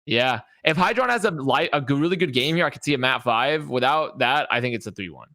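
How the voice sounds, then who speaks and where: Bright, punchy male podcast panelist. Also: medium pitch, expressive, a little fast, no echo, studio quality. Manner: emphatic, repeats phrases for stress. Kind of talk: casual, sweary sports analysis chat.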